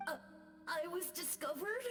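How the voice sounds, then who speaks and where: A woman voicing a timid, gentle little boy ghost in a video game, meek and innocent. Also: very high voice, expressive, unhurried, over music. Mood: apologetic.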